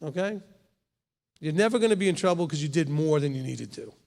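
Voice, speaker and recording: A man's voice: fairly high pitch, lively intonation, brisk, vocal fry, darkish tone, in a large hall. A man in his fifties, a rabbi leading a congregation's Torah study in a conversational way, often checking in with "okay?". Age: 50s. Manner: conversational, keeps asking "okay?". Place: congregation hall.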